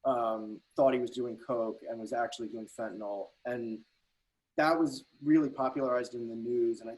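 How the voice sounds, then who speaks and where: Male playwright, medium pitch, reflective and casual, halting explanations, video call. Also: steady tone, darkish tone, poor audio. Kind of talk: reflective casual discussion of own writing. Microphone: computer video call.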